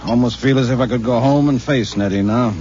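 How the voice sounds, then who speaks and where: An actor playing a wry, joking middle-aged husband who wisecracks and sounds incredulous, in 1950s radio acting style. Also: male voice, mid-range voice, brisk, close to an everyday voice. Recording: dull 1950s radio recording, faint hiss.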